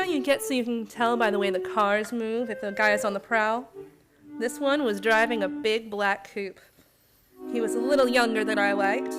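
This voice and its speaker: Radio noir casting: actress as sly young female swindler, high girlish voice, music underneath. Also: close to an everyday voice. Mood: cool, sly. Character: cunning young female con artist posing as schoolgirl. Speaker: actor in a community radio play. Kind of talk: noir radio play, first-person narration and dialogue.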